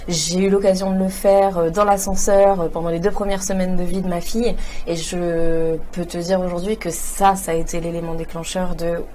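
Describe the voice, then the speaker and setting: A woman's voice: fairly low pitch, flat delivery, moderate pace, hardly any pauses, mellow, slight background noise. A woman, a talk show guest answering earnestly and enthusiastically, with many fillers and restarts. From Réunion. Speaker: guest, entrepreneur. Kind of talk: conversational interview answers.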